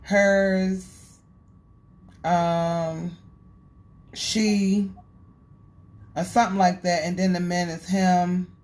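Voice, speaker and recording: A woman's voice: on the low side, level tone, very slow, long pauses, a little mellow. A woman in a casual conversation, giving her view in a plain-spoken, conversational way. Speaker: vlogger.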